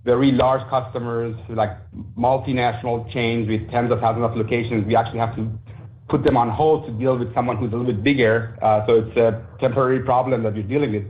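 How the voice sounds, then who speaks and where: A man answering questions as a company executive on an earnings call, halting and full of hesitations. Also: medium pitch. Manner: halting, hesitant with frequent fillers. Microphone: conference call line. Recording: narrow phone-like conference line.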